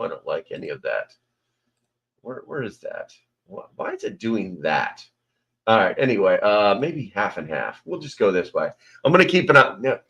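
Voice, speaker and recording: A man's voice: low voice, a bit bright, lo-fi sound. An American man hosting a casual livestream, rambling as he reads names from the chat, speaking into a small microphone in a nearly bare room. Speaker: livestream host. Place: sparse, nearly empty room. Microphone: small desk microphone.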